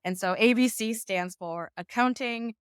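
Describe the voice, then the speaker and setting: A woman's voice: a little high, a little slow, clear, resonant tone, no echo, clean studio sound. A woman in her thirties from the US, a startup founder speaking fluently and reflectively as a podcast guest. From United States. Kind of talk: podcast interview.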